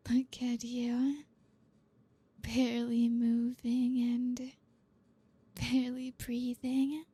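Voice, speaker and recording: A woman playing a flirty, dominant boss in an ASMR roleplay, teasing and commanding in a soft, deliberate, often whispered voice. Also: fairly high pitch, slightly rough, exaggerated voice.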